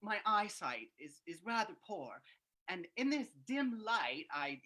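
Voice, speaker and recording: A woman's voice: mid-range voice, very animated, unhurried, sharp tone. A woman playing a villainous man who hides a maniacal streak behind apologetic meekness in an old-time radio drama. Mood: meek, apologetic. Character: villainous Mister Darrow, meekly apologetic man turning maniacal.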